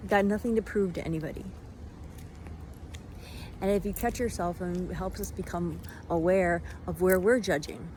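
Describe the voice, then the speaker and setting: Reflective woman, halting phrases, faint background noise. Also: fairly low pitch, mellow. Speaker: vlogger giving self-help reflections. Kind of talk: unscripted motivational monologue. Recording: noisy, vehicle-like ambient sound.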